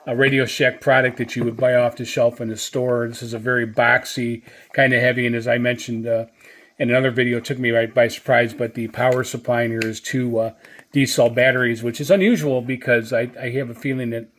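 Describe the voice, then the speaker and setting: A man giving a casual, unscripted product review in a halting, hesitant way, often repeating phrases. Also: mid-range voice, flat delivery, a bit bright.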